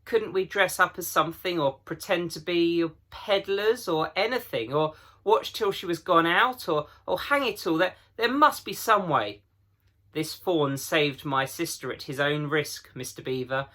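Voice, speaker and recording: A man's voice: on the high side, measured pace, bright, forceful tone, pitched above the performer's own voice. A man voicing a brave, indignant boy in a children's storybook, protesting urgently and stumbling over words. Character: eager, indignant boy, brave elder brother.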